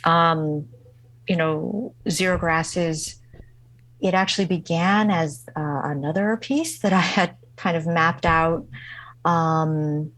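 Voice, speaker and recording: A woman guest recounts a personal story in a slow, reflective way, pausing often and restarting her sentences. Her voice sits a little low for a woman, and it is recorded dry, with no room sound. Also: fairly bright tone, no echo. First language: not English. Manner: halting, many fillers and restarts. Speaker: guest musician.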